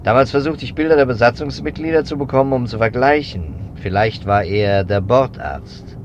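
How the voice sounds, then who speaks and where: A muffled old radio-play recording with clear noise and vehicle rumble carries an actor as a male investigator. He is methodical and persistent, laying out his suspicions deliberately in a mid-pitched voice. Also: natural voice. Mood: determined. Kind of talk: 1950s German radio drama acting. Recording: dull, noisy 1950s radio recording.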